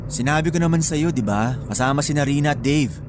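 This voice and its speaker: A man's voice: on the high side, animated, a little fast, noisy background, natural voice. A man acting a defensive, exasperated male lover in Filipino radio drama, with terse replies.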